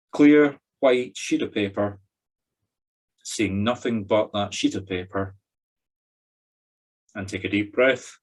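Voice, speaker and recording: A Scottish man with a deep voice acts as a medium guiding a spiritual circle. He leads the meditation in short, spaced-out phrases, directing listeners through each step. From Scotland. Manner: slow, pausing instructions in short phrases. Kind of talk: guided meditation.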